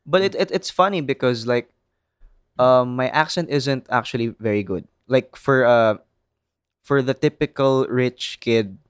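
Man, Filipino English accent, opinionated casual chat, smooth resonant tone, dry close sound. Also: mid-range voice, measured pace, no echo. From Philippines. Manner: chatty, opinionated, many "like" fillers.

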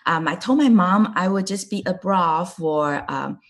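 A woman's voice: on the low side, a little slow. A middle-aged woman from Taiwan, a native Chinese speaker, chatting as a guest and telling her story with a laugh now and then. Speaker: guest, clinic co-owner. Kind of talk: casual interview chat.

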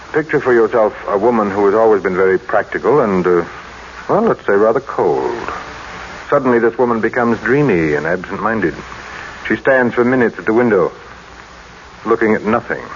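A man's voice: on the low side, lightly acted. An actor playing a cold, suspicious middle-aged businessman in an old-time radio drama, with a brusque, commanding manner. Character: cold, imperious, suspicious businessman husband, decisive. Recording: muffled, noisy old radio recording.

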